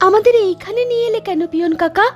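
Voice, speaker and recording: A woman's voice: very high-pitched, quick pace, lo-fi sound. A woman voicing an anxious teenage schoolgirl in a Bengali cartoon. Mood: worried. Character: anxious teenage schoolgirl who failed her exam. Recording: background music under the voice.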